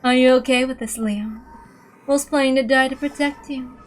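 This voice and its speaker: A woman's voice: high-pitched, steady tone, moderate pace, natural voice. A woman voicing a cruel, gloating witch in a taunting, theatrical manner. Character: cruel, gloating female witch with cat minions.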